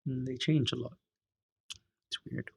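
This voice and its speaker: A man who teaches singing, chatting casually as a guest with a boomy, projecting voice and a loud, playful manner. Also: steady tone, a little slow, creaky, breathy edge. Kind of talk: casual conversation.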